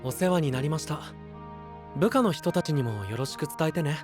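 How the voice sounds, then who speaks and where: A man's voice: on the high side, expressive, normal speed, close to an everyday voice. Casting: man as friendly, teasing young knight, easygoing yet melodic, anime drama, light music behind.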